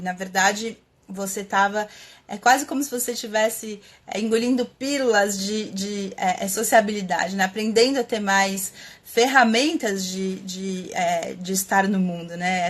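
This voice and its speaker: Brief: Brazilian woman host, mid-pitched, reflective live talk, halting with fillers. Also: a bit bright. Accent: Brazilian Portuguese. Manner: thinking aloud with many fillers and restarts. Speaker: host of a live stream on writing. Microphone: webcam or computer mic, live stream.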